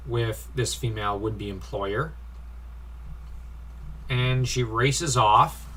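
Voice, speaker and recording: A man's voice: on the low side, fairly bright tone, slight background noise, low-quality recording. A man giving an informal comic review in a nitpicky, critical way, given to sighing. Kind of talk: informal comic review commentary with read-out dialogue.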